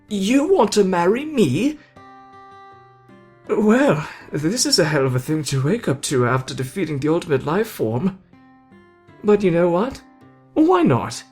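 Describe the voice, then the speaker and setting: A brash young hero acted out by a man speaks high and animated, with playful drama. It is an ASMR roleplay aimed straight at the listener, with music behind the voice. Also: breathy edge, exaggerated voice. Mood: surprised, curious.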